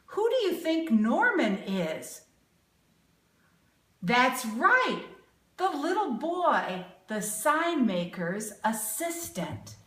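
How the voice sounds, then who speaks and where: A woman reading a children's picture book aloud expressively, pausing to put questions to young listeners with exaggerated emphasis. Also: high voice, big pitch swings, fairly bright tone. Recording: roomy, echoing sound.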